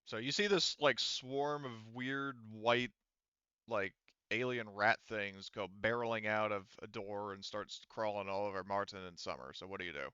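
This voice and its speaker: A man with a low, smooth voice acts as game master for a tabletop roleplaying game. He talks informally, narrating and explaining rules as he goes. The audio is dry and slightly dull. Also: fairly even intonation, moderate pace, bright, forceful tone, no room sound. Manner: informal, mildly profane.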